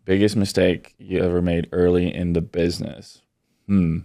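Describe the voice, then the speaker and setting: Podcast guest: man in his thirties from Poland, very low and smooth voice, dry close sound, easygoing and rambling. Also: normal speed, no echo. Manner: relaxed, rambling, many fillers. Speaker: podcast guest, business owner. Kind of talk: casual interview answers.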